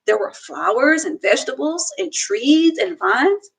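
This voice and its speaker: Slow, lively-voiced woman reading aloud. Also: sits high, vocal fry, husky, rough recording. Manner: slow, expressive storytelling. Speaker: reader. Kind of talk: children's book read-aloud. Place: home room, indoors.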